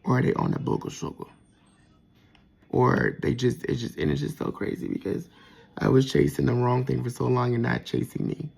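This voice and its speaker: Soft-voiced man with a gravelly edge. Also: medium pitch, level tone, moderate pace, rough recording. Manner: reflective, with frequent fillers and restarts. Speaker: vlogger. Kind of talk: casual personal monologue.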